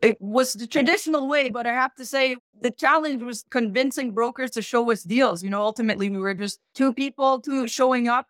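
A woman in her thirties from Quebec, a guest recounting her business journey in lively conversation. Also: no room sound. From Canada (Québec). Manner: animated, rises in pitch when emphasizing. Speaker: guest, search fund entrepreneur. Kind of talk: conversational interview.

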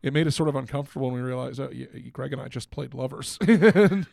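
A man co-hosting a podcast in casual, halting, wry chat. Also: medium pitch, vocal fry, darkish tone, no room sound, studio quality. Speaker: podcast co-host.

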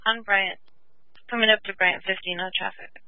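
A woman's voice: medium pitch, steady tone, normal speed, no echo. A woman working as a police dispatcher, giving terse, clipped read-backs over the radio. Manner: clipped, procedural phrasing. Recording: dry, tinny phone-like dispatch line.

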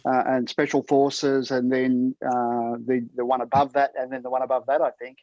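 A man interviewing a guest, asking halting questions with fillers and hesitations. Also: mid-range voice, flat delivery, a little mellow, dry recording, highs cut off.

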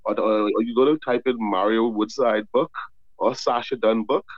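Man from the Bahamas, author guest, repeats words, lively storyteller, dull lo-fi dry sound. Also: lowish voice, moderate pace, no echo. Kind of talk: casual interview talk.

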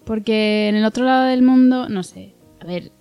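A woman's voice: fairly high pitch, normal speed, darkish tone, clear, resonant tone, soft background music. A woman, a podcast guest, talking candidly and laughing often. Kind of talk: casual chat.

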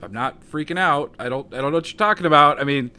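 A man speaking calmly and hesitantly as a guest in a casual conversation, with frequent fillers. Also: on the high side, fast, clear, resonant tone. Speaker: guest, online personality.

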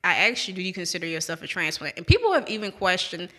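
A woman's voice: on the low side, very animated, fast, sharp tone. A young woman hosting a podcast, chatting casually and laughing, talking to listeners directly. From American South. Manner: chatty, laughing, addresses listeners as you guys. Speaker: podcast host.

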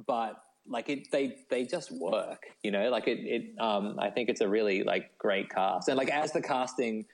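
A man's voice: fairly low pitch, normal speed, vocal fry, few pauses. A man, talking casually as a guest in an interview. He thinks aloud as he goes, hesitating and leaning on little filler phrases. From Australia. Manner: hesitant, thinking aloud with frequent "like" and "you know". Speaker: guest, novelist.

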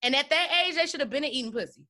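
A woman's voice: high voice, fast talker, pushed and bright, a little hiss or hum. A woman in casual chat, talking bluntly and with animation.

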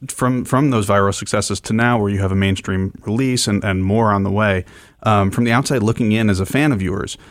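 A man hosting a casual radio interview, halting and often restarting his phrases mid-thought. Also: fairly low pitch, no echo. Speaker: radio show host interviewing a guest.

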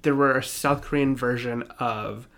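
A man's voice: medium pitch, steady tone, measured pace, fairly bright tone. A young man co-hosting a podcast, riffing comically in an irreverent, sweary, casual way. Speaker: podcast co-host.

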